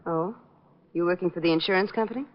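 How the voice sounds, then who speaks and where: An actress playing a guarded, impatient widow in an old radio drama, speaking curtly. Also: female voice, lowish voice, quick pace, close to an everyday voice. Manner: curt, impatient. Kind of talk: 1940s-50s radio detective acting. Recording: dull old-time radio recording.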